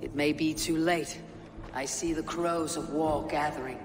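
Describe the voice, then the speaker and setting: An actor playing a steady, level-headed Viking warrior who speaks firmly. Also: male voice, fairly high pitch, a little slow, music in the background, natural voice. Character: steady calm male Viking warrior, young adult.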